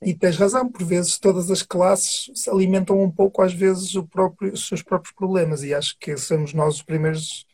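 A host leads a casual conversation, often chiming in with short affirmations. The voice has a husky, slightly breathy grain. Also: medium pitch, steady tone, normal speed, hardly any pauses, darkish tone. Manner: affirming, brief backchannels.

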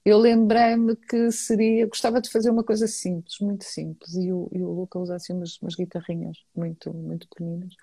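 A woman's voice: on the low side, level tone, brisk, hardly any pauses, mellow, breathy edge, no room sound. A woman singer with a European Portuguese accent, talking casually as a guest and often repeating words and restarting her sentences. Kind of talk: casual conversation.

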